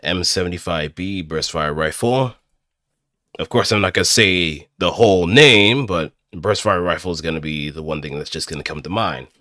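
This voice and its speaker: A low, deep male voice with a very bright, forceful edge, recorded close and dry. He talks like a casual streamer, working out his thoughts aloud and making things up as he goes. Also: normal speed, dry recording. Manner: hesitant, thinking aloud, improvising as he goes. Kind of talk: casual rambling livestream commentary.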